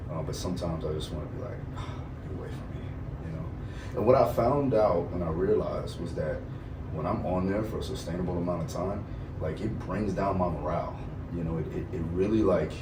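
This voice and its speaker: Laid-back deep-voiced coach, thirties, rambling casual guest talk, recorded far off-mic in an echoey noisy room. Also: male voice. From Florida, USA. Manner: relaxed, rambling, laughs often, many fillers. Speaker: MMA coach and trainer, guest. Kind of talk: casual interview chat.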